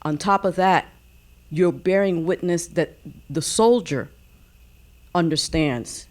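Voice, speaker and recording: A woman offers a reflective comment from an audience. She speaks slowly in a low voice, choosing her words with halting, deliberate phrasing. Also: darkish tone. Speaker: audience member.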